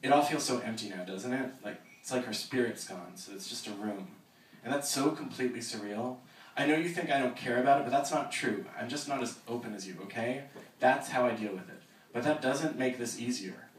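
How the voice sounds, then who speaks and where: A man's voice: mid-range voice, brisk, voice pushed up, close to an everyday voice. A man voicing a guarded, defensive teenage boy. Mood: pained. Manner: pleading and defensive, rising urgency. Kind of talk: quoted dialogue in a reading of a novel. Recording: echoey room with a distant mic.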